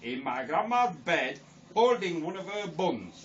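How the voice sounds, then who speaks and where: Man doing a Welsh girl, brash, scolding, slow, high, distant hissy recording. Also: very animated. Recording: distant lo-fi home recording with hiss.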